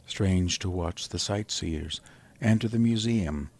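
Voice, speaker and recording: An actor narrating as a solemn professor in a 1930s radio drama, measured and reflective. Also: male voice, sits low, darkish tone, heavily acted. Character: grave middle-aged male professor, survivor. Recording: vintage 1930s radio broadcast.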